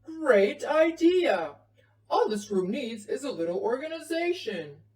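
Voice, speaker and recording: Woman doing a father bear, storybook, firm, reasonable, emphatic, slow, distant from the mic. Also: sits high, very animated, darkish tone, roomy sound. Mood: helpful, eager. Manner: emphatic, deliberate.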